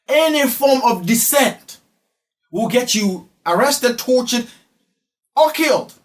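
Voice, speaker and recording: High-pitched Ghanaian man, emphatic commentator. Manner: emphatic, repeats phrases for effect. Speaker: online commentator. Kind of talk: spoken social and political commentary.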